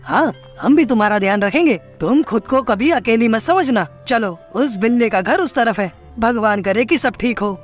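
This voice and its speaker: A protective, brotherly bird from a children's cartoon, played by a woman. He sounds earnest and reassuring, high and animated in pitch, with soft music behind. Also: moderate pace, hardly any pauses, close to an everyday voice. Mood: sombre concern. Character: caring, protective male neighbour bird, parrot or crow.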